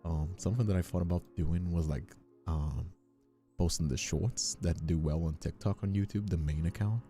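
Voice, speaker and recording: Very deep, dark-voiced man chatting casually. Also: fairly even intonation, normal speed. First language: Spanish. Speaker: voice actor and content creator. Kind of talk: casual chat. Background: music.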